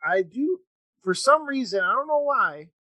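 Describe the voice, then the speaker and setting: A man hosting a casual interview chat, talking in a halting, rambling way with false starts. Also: extremely high pitch, expressive, sharp tone, no room sound. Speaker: podcast host.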